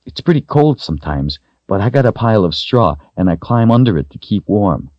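A man's voice: fairly low pitch, big pitch swings, a little fast, no room sound, muffled recording, pitched above the performer's own voice. A man voicing a timid, earnest, plucky little servant girl in a storybook, with simple childlike phrasing. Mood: earnest, confiding.

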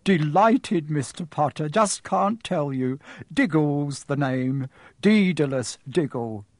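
A fussy, excitable little gentleman in a storybook, gushing in a breathless, high voice, as a man puts him on. The delivery bounces eagerly up and down. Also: slow pace, no echo, clean studio sound. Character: excitable small older wizard gentleman.

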